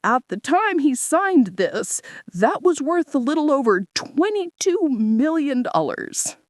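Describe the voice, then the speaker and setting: A woman voicing a formal male lawyer reading out legal text in an audio story, in a measured manner. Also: sits high, very animated, dry recording, studio quality, pitched above the performer's own voice, full character voice. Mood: formal, emphatic.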